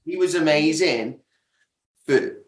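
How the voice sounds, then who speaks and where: A man chatting casually as a podcast guest, often halting to search for words. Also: on the high side. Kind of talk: casual chat. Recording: echoey room, distant from mic.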